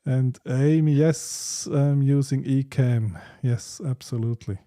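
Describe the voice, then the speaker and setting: A man hosting a casual livestream chat, speaking in halting, hesitant phrases. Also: mid-range voice, mellow. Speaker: livestream host.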